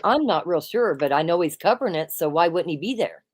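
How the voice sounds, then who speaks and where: A woman hosting a casual chat, loud-voiced and emphatic, who keeps saying "absolutely" and "listen.". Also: on the low side, expressive, fairly quick. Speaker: host.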